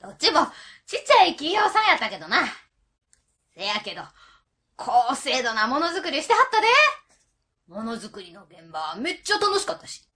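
Brash Kansai auntie, wildly swooping voice. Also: female voice, sits high, very animated, a little fast, long pauses, pushed and bright, full character voice. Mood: chatty. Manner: breezy, familiar. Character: brash, cheery middle-aged auntie, secretly a mischievous spirit. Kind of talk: comic radio drama acting.

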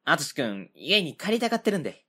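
A woman with a Kansai accent voicing a kindly, soft-hearted middle-aged man who runs a small factory, in an amateur radio drama. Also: sits low, very animated, quick pace, husky, heavily acted. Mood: polite. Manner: gentle, humble, easily moved.

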